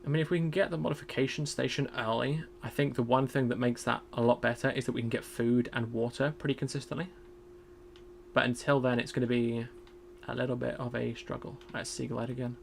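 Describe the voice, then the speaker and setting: A man streaming a game in casual commentary. He is chatty and self-deprecating, thinks aloud, and has a loud voice. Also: medium pitch, little pitch movement, fairly quick, vocal fry, slight background noise.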